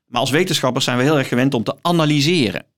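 A middle-aged man explaining books in conversation as an interview guest, thoughtfully and haltingly, repeating words as he searches for phrasing. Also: medium pitch, a bit bright. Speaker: guest, professor.

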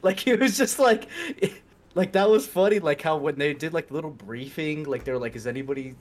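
A man in casual movie-review chat, animated and laughing, with many fillers. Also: on the high side, brisk.

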